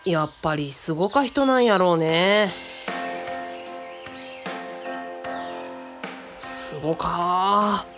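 A woman's voice: on the low side, measured pace, over music, natural voice. A woman playing an easygoing, friendly young teacher in a radio drama, explaining things in casual, boyish speech. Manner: casual explaining, bits of Kyushu dialect.

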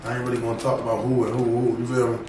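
A man's voice: mid-range voice, monotone, darkish tone, slight background noise. Mumbling young man, roomy and distant. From Baltimore. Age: young adult. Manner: mumbled, halting street slang. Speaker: rapper. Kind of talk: casual interview.

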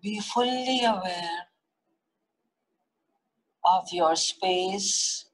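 A woman leading a guided meditation, giving gentle, unhurried instructions in short repeated phrases with long pauses. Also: medium pitch, poor audio. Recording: music behind the voice. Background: meditation music.

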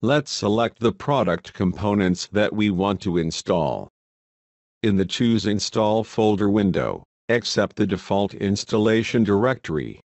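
A man reading a scripted instructional narration in a measured, procedural manner, as a tutorial voice-over. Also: fairly low pitch, expressive, a little mellow, no room sound.

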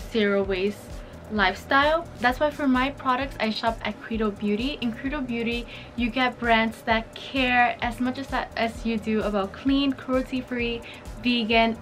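Young Dominican-accented woman, bright and higher-pitched, talking with her hands; casual beauty vlogger over light background music. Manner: animated, talks with her hands, upbeat. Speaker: fashion and skincare vlogger. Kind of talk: casual chatty product review. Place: bright bedroom.

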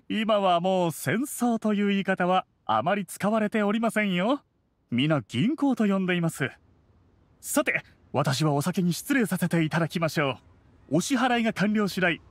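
A man's voice: high-pitched, animated, unhurried, no echo. An actor playing an eager merchant man in an anime game, very polite and deferential. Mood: genial. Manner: obsequious, very polite. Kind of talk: Japanese anime game voice acting.

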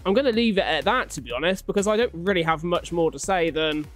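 A man's voice: high-pitched, animated, fast talker, faint music behind. A young adult man giving casual, slangy, exclamatory reaction commentary into a close desktop microphone in a small room. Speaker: reaction video host. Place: small indoor room at home. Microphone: desktop condenser microphone, close up.

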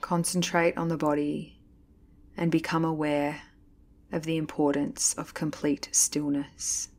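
A woman leading a guided yoga nidra meditation as an instructor, reading calmly and deliberately with measured pauses. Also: low voice. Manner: calm, soothing, slow, deliberate pauses. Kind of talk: guided meditation, scripted narration.

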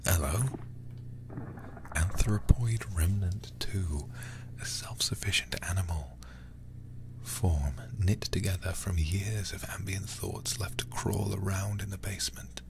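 A man softly whispering close to the microphone in an ASMR game stream, reading text deliberately and murmuring intimate asides to his viewers. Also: fairly even intonation, background noise. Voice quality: breathy whispering, low and gravelly. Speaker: livestreamer playing a horror game.

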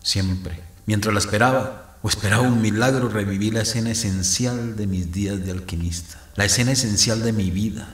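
A man's voice: fairly low pitch, brisk, echoey room, lightly acted. An actor playing a guilt-ridden priest narrating his past in an audio drama. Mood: haunted, brooding. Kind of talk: scripted first-person dramatic narration.

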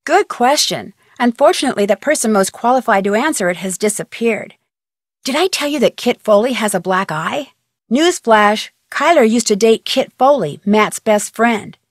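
A young American girl detective in a mystery video game. Her voice is on the high side and recorded dry and close. She talks in a chatty, curious, level-headed way, with clear diction and a wry edge. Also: expressive, moderate pace, no room sound. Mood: matter-of-fact, intrigued. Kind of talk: video game voice acting, conversational phone-call dialogue.

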